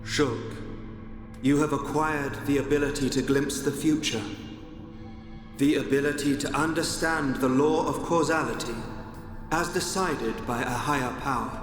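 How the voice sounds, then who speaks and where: A cryptic, all-knowing video game spirit voiced by a man, calm and unhurried. His mid-pitched voice rings out in a long reverb over music. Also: natural voice. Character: mysterious, all-knowing male spirit guide in a vision. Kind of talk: dramatic video game cutscene acting.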